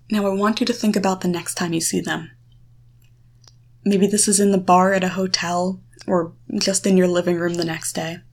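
A woman guiding the listener through a visualization, coaching in short, deliberate phrases with a reassuring, instructive manner. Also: medium pitch. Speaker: guide leading a guided erotic confidence exercise. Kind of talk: guided visualization, second-person coaching.

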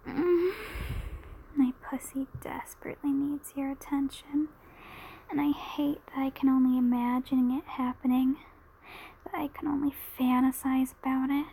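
A woman with a high, soft voice speaks slowly and intimately, as in adult audio roleplay. She moves between breathy whispering and mellow, sultry murmuring. Faint but steady noise sits behind her. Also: poor audio. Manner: sultry, drawn-out, intimate delivery. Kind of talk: erotic audio roleplay, intimate monologue addressed to listener.

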